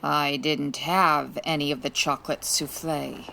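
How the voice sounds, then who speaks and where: A woman playing a sassy, sharp female private detective in a comedic 1940s-style radio drama, with wry, teasing delivery. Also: low-pitched, fairly even intonation, unhurried, vocal fry, slight background noise, heavily acted, low-quality recording. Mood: wry, romantic. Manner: wry, teasing, dry quips.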